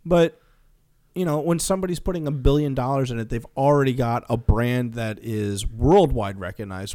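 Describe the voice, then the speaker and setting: Male co-host, mid-pitched, informal and opinionated, chatting like a regular guy. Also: mellow. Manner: informal, argues through imagined dialogue. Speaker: podcast co-host. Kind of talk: casual, opinionated chat.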